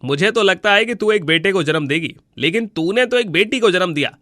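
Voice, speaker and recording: A man's voice: high-pitched, expressive, fairly quick, sharp tone, no room sound, clean studio sound. A man voicing a cruel, angry husband in a moral storybook tale, with a harsh, scolding manner. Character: cruel, angry husband throwing out his wife. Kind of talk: Hindi moral storybook voice acting.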